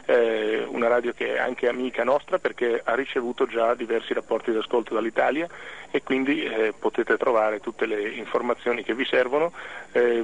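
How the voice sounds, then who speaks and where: A man with an Italian accent, a native Italian speaker, answering radio interview questions slowly as he searches for words. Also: medium pitch, husky, rough recording. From Italy. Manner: halting, searching for words in a second language. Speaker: hobby club official, interview guest.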